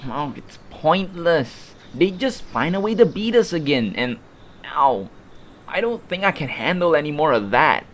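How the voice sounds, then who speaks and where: Man voicing a high, whiny, rebellious creature. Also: very animated, unhurried, a little hiss or hum. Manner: griping, blustering, worked-up. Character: resentful, whiny fantasy creature rallying a mutiny. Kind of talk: audio drama acting.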